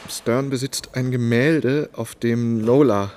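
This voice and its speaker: A man's voice: mid-range voice, fairly even intonation, slow pace, a little mellow, lightly acted. A man acting in a radio drama as an uneasy novelist, speaking carefully and hesitantly.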